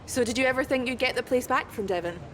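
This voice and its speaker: An actress playing a brisk, professional female motorsport interviewer in a video game. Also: sits high, fairly bright tone, a little hiss or hum. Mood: inquisitive. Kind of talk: scripted post-race interview in a video game.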